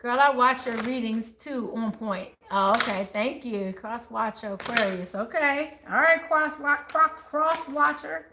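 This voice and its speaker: A woman's voice: fairly high pitch, measured pace, hardly any pauses. A woman reading tarot cards live, chatty, laughing a lot and talking straight to her viewers. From born in Texas, raised in the Maryland and DC area. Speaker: tarot reader. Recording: echoey room with faint noise.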